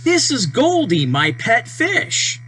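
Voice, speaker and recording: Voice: medium pitch, takes it slowly, voice pushed up, exaggerated voice. A storybook reader voicing a friendly, eager young cat boy in simple, enthusiastic lines. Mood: cheerful. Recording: steady mains hum behind voice.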